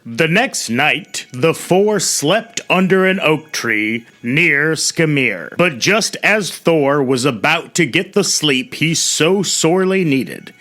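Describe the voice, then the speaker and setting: A male storyteller with a bright, fairly high voice and highly animated delivery. He narrates with dramatic phrasing and slips into conversational commentary as host. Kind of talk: storytelling narration with casual asides.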